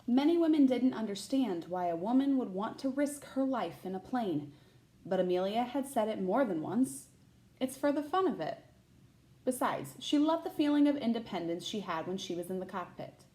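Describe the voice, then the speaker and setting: A woman reading a children's picture book aloud like a teacher, pausing to put questions to young listeners. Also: fairly high pitch, animated, mellow, roomy sound. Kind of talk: expressive read-aloud of a children's picture book with chatty asides.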